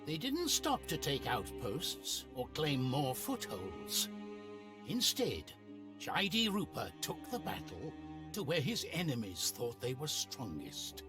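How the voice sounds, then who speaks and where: Wry game narrator, detached chronicler, measured but swoopy delivery, music behind him. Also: male voice, medium pitch, huge pitch swings. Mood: detached, knowing. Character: detached, cynical male chronicler watching mortals with curiosity. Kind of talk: dramatic scripted game narration.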